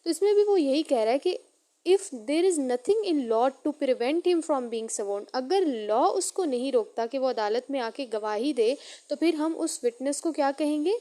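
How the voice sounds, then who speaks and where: A Pakistani woman delivers an explanatory lecture in a notably high-pitched voice. Her tone is soft-edged and mellow rather than sharp. She is didactic, restating each point and slipping English terms into her speech. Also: lively intonation, unhurried. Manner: didactic, repeats and rephrases points, mixes in English legal terms. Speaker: law lecturer and advocate.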